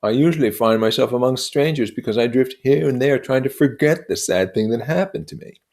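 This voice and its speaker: A man acting out a grandiose young gentleman war veteran, raised pitch. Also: fairly quick, lightly acted. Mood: earnest, insistent. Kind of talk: acted dialogue in an audiobook reading.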